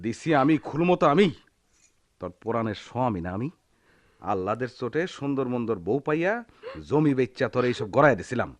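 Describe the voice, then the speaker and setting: Man acting as hot-tempered village husband, rural Bangladeshi accent, mid-pitched, lively swings, dry close sound, radio play. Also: fairly quick, no room sound, lightly acted. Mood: demanding, irritated. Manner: scolding, taunting. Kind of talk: Bengali radio drama acting.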